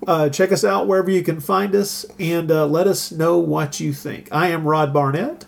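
A man's voice: a little high, fairly even intonation, normal speed, gravelly. A man hosting a podcast in casual chat, with a wry, self-deprecating, joking manner. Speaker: podcast host.

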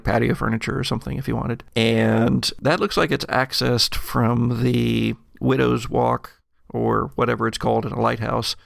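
Gravelly, halting man narrating a game. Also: mid-range voice, no echo, clean studio sound. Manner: halting, pausing to find words. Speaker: game master. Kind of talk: improvised tabletop game narration.

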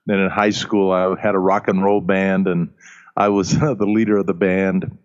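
Older male guest, German first language, low-pitched, laid-back with fillers and chuckles. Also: moderate pace, clean tone. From Alabama, United States. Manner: relaxed, chuckling, with many uh fillers. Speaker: guest, leadership coach. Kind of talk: conversational interview answers. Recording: muffled lo-fi audio.

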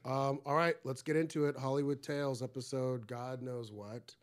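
A man's voice: mid-range voice, fairly even intonation, moderate pace. A man hosting a casual podcast in a studio, chatting and joking in a loose, rambling way. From Egypt. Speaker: podcast host.

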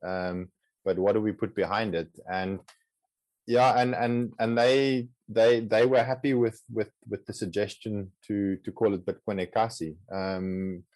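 A man's voice: lowish voice, steady tone, unhurried, a little mellow, dry recording. A man from South Africa whose first language is Afrikaans, speaking with an Afrikaans accent as an interview guest, often repeating words and restarting sentences. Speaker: guest, project co-founder. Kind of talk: casual interview conversation.

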